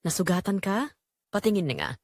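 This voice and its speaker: A woman narrator reads as a young auto shop mechanic, a friendly and caring man in an audio romance drama. The delivery is expressive, in a dry recording. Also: medium pitch, a little fast, no echo. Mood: alarmed, concerned.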